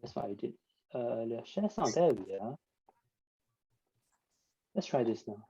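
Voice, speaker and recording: A man tutoring casually on a video call, explaining in a relaxed, offhand way. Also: mid-range voice, a little slow, husky. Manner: relaxed, offhand, thinks aloud. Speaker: dialect tutor. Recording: dull video call audio.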